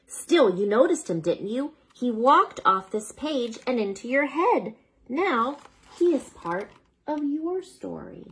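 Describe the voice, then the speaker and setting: A woman reading a children's bedtime story aloud in an unhurried, expressive way, as if speaking to a child, with a slightly husky voice. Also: fairly high pitch, poor audio.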